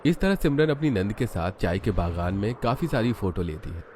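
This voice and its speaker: Low-pitched male story narrator. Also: sing-song, normal speed, noisy background. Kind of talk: scripted cartoon story narration.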